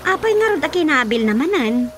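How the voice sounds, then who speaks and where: A woman's voice: sits high, sing-song, brisk, over music. A woman playing a curious, exclamatory, scandalized villager in a Filipino radio drama, with a Filipino accent. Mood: shocked, curious.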